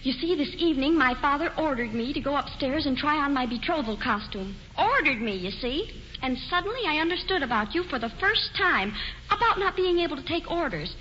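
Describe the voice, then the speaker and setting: A headstrong young woman speaks in a high, bright, impulsive rush. The 1940s broadcast sound is muffled with faint hiss. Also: expressive, brisk. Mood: excited. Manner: breathless, impulsive. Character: spirited young woman defying her father. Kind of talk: 1940s radio musical acting.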